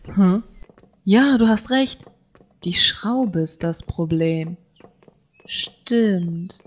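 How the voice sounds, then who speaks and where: A woman's voice: medium pitch, expressive, extremely slow. A woman voicing an earnest, fussy teenage boy obsessed with order in a German fan-dubbed anime audio drama. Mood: eager. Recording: dull lo-fi audio with music.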